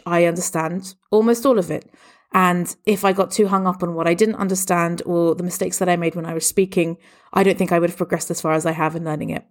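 A woman from Portugal, raised in England, answering questions about learning languages in a fluent, emphatic way. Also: fairly low pitch. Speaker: interviewee. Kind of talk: answering exam questions conversationally.

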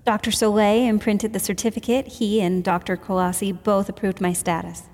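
A woman's voice: mid-range voice, little pitch movement, normal speed, a little mellow, natural voice. A woman playing a guarded, candid witness under questioning in an audio drama, answering tersely and carefully. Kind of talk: fiction podcast audio drama. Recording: echoey hall with long reverb.

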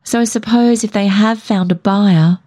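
A woman's voice: medium pitch, normal speed, warm tone, voice pushed up, natural voice. A woman reader voicing an anxious, wry wife in a story, plaintive and exclaiming.

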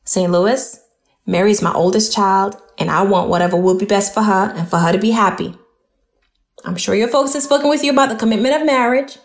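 A woman's voice: mid-range voice, a little fast, close to an everyday voice. A woman playing a protective, welcoming mother in an audio drama, with a folksy, direct manner, in an echoey room. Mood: protective, serious.

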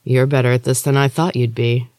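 A narrator voicing a smug, menacing male rival with a measured manner in a fantasy story. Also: medium pitch, little pitch movement, darkish tone, no echo, clean studio sound, close to an everyday voice. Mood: smug, needling. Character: menacing male rival, cat-eyed, smug magic user.

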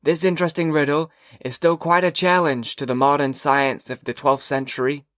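A man's voice: fairly high pitch, little pitch movement, a bit bright, dry recording, clean studio sound, pitched above the performer's own voice, close to an everyday voice. A man doing a sarcastic old schoolteacher. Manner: sarcastic, slow and lecturing. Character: old sarcastic male history teacher.

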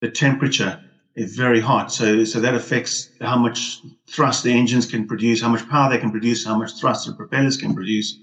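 A man's voice: mid-range voice, fairly even intonation, a little fast, low-quality recording. A man speaking as an expert pilot guest, explaining technical points informally and building them up phrase by phrase.

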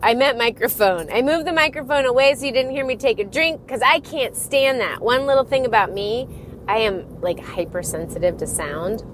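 A woman's voice: a little high, moderate pace. A middle-aged woman hosting her own podcast, stuffy from a cold, talking in a rambling, chatty way and often laughing. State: congested from a cold. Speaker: podcast host and divorce coach. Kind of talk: casual solo podcast talk. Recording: audible background noise.

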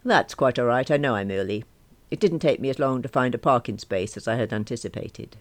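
Casting: smooth, dry-humored middle-aged man client , read by a woman with a very low, dry voice. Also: brisk, dry recording. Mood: polite. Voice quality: smooth, deep voice. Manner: dry, teasing.

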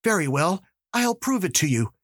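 A man voicing an eager, excitable young detective who pleads insistently in a raised, high voice. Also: normal speed, husky, no echo, studio quality, heavily acted.

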